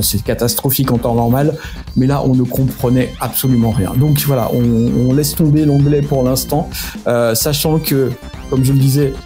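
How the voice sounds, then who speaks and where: A man's voice: medium pitch, dark tone. A French man talking casually to his channel's viewers, rambling and joking at his own expense with lots of hesitations. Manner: rambling, self-mocking, many hesitations. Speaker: miniature-hobby YouTuber. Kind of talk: casual vlog talk to subscribers.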